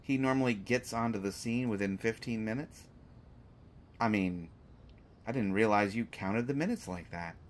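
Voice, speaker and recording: A man's voice: fairly low pitch, moderate pace, slight background noise, natural voice. A man playing a sarcastic, unafraid hostage who teases a villain in an audio drama, with exaggerated mock reactions. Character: sarcastic, unafraid male hostage taunting a lovesick supervillain. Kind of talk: scripted roleplay audio drama monologue.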